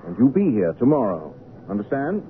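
An actor playing a proud, obsessive, lovesick painter with an imperious, theatrical manner in an old radio drama. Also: male voice, mid-range voice, big pitch swings, brisk, close to an everyday voice. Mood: commanding. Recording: noisy, tinny old radio broadcast recording.

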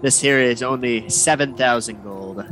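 A man's voice: medium pitch, a little slow, fairly bright tone, a little hiss or hum, lightly acted, rough recording. A man doing Maurice, a pushy shopkeeper. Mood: salesy. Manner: salesman patter, polite and pushy. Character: smooth-talking male shopkeeper, eager to sell.

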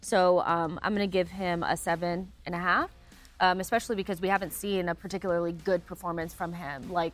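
Lowish-voiced woman giving candid sports analysis. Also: fairly even intonation, brisk, faint music behind. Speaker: sports show panelist and analyst. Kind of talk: casual sports commentary.

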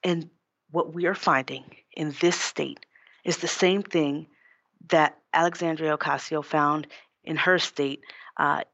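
Low-voiced American woman, roomy sound. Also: steady tone, measured pace, a bit bright, highs cut off. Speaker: political candidate being interviewed. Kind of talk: conversational political interview.

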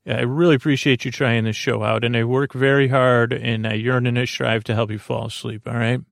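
A man hosting a bedtime podcast in a creaky, soothing voice, rambling haltingly and drifting into tangents. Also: mid-range voice, flat delivery, no echo. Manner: meandering, tangent-prone, halting; lulling, soothing. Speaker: podcast host.

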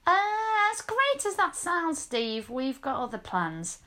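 Woman playing a brash, bright-voiced young heroine. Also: very high voice, big pitch swings, takes it slowly, full character voice. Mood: defiant. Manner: cheeky, exclamatory. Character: brash young female adventurer, feisty and exclamatory.

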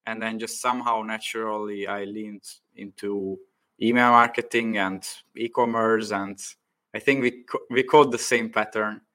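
A man's voice: on the low side, steady tone, normal speed, fairly bright tone. A man hosting a podcast interview in a casual chat, with many hesitant fillers. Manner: many "uh" fillers, loose phrasing. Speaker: podcast host.

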